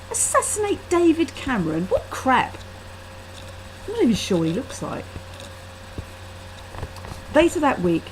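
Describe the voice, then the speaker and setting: A woman's voice: medium pitch, slight background noise, low-quality recording. A woman reading her own first-person short story aloud into a laptop webcam microphone.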